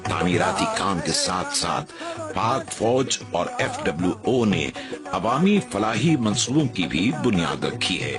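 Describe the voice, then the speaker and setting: Male documentary narrator, very deep voice, formal read, loud music bed. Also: very animated. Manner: formal, measured delivery. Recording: voice-over under loud music.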